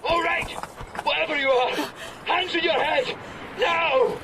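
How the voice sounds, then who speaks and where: An actor in a radio drama playing a delirious rescuer in a spacesuit, halting and anguished, convinced he is burning. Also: extremely high pitch, fairly even intonation, noisy background. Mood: commanding.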